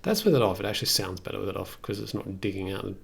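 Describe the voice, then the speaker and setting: A man's voice: fairly low pitch, expressive, very fast, vocal fry, loud music behind the voice. A young man chatting casually through a tutorial on a livestream, thinking aloud in a tentative way as he works. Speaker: music production livestreamer. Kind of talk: casual tutorial chat while working. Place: indoors at a computer desk.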